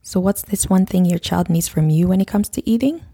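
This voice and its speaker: A woman hosting a parenting podcast, talking straight to listeners while croaky from a cold. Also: fairly low pitch, a little fast, warm tone. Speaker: podcast host, nutrition counselor. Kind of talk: scripted podcast intro, then casual talk to listeners.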